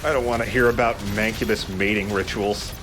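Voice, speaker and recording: A man's voice: on the low side, fairly even intonation, brisk, background noise. A man hosting a let's play, giving casual, jokey game commentary with friends. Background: game sound.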